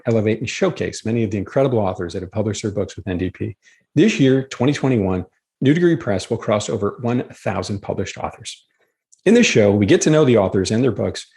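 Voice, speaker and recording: A man's voice: mid-range voice, gravelly, a little mellow. A man hosting a podcast, reading an upbeat scripted introduction and then chatting with his guest. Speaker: podcast host.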